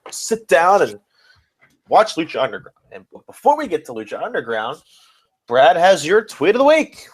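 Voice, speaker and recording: Animated man hosting a wrestling podcast. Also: on the high side. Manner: emphatic, sarcastic ranting. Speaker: podcast host. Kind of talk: casual wrestling banter and opinion.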